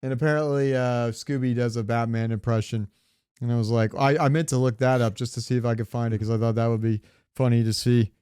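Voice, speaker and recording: A man with a clear, resonant mid-pitched voice talks casually as a podcast co-host. He is opinionated and informal, and the sound is dry and close. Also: steady tone, brisk, warm tone, no echo. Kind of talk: casual pop-culture chat.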